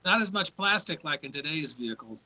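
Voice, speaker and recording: A man chatting on a video call, talkative and quick to laugh. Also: a little high, lively intonation. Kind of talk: casual video call chat. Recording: tinny call audio.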